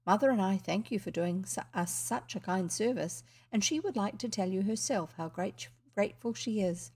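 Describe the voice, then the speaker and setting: A woman's voice: mid-range voice, normal speed, a little mellow, breathy edge. A woman voicing a polite, grateful grown daughter who speaks formally.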